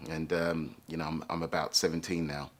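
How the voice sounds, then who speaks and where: A man with a deep, low-pitched voice tells his own life story. He speaks reflectively and thoughtfully, often pausing to find the right words. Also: little pitch movement. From England, London. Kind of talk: personal life story told to camera.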